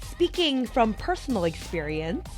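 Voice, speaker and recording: A woman's voice: on the high side, very animated, a little slow, soft background music. A young adult American woman presenting a prepared motivational monologue as a host, explaining things and addressing the listener directly. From United States, small town in Colorado. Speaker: podcast host.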